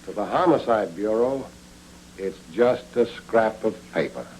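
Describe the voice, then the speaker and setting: Swooping, sardonic noir police lieutenant. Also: male voice, fairly low pitch, expressive, measured pace, darkish tone. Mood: stern, needling. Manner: clipped, sardonic, authoritative. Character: stern, needling police homicide lieutenant. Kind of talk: 1940s film noir acting. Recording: noisy old film soundtrack with hum.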